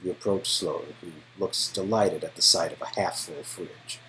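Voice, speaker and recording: Low-voiced man narrating a story. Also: steady tone, normal speed, fairly bright tone, slightly rough, rough recording. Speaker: narrator and reader of game dialogue.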